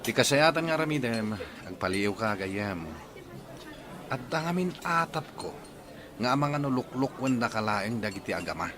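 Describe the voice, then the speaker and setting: A frank, loyal friend with an Ilocano accent gives advice in a lively, rising-and-falling voice, played by a man. Restaurant crowd chatter fills the background in this radio drama. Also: mid-range voice, moderate pace, noisy background, lightly acted. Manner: frank, advising.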